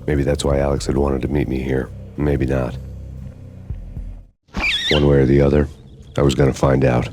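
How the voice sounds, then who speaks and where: Hard-boiled cop, extremely low voice, brooding, sardonic narration, game music behind. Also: male voice, flat delivery, moderate pace, long pauses. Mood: brooding, deadpan. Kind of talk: scripted noir narration.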